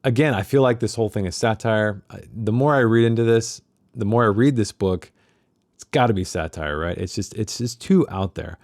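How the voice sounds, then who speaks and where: A man's voice: on the low side, moderate pace, a little mellow, no echo, clean studio sound. A man hosting a book club in a chatty, self-deprecating way, casually discussing passages he reads aloud.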